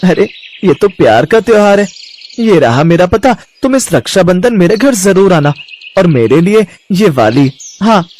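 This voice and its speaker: Guy doing a young schoolboy in a cartoon, high voice, earnest, sweet n wistful. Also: big pitch swings, measured pace, a little mellow, heavily acted. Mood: earnest, inviting. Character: wistful, affectionate young schoolboy longing for a sister. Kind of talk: cartoon moral story.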